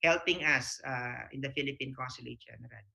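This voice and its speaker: A man with a Filipino accent, a consular official speaking as a guest, making a polite public appeal with halting delivery. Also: mid-range voice, gravelly, sharp tone.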